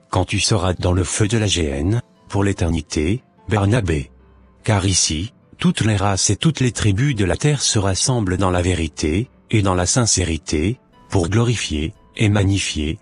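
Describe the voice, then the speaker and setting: Man as a deep, solemn heavenly messenger. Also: unhurried, husky. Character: solemn male heavenly messenger, stern preacher of unity. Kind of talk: scripted cartoon preaching. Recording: music behind the voice.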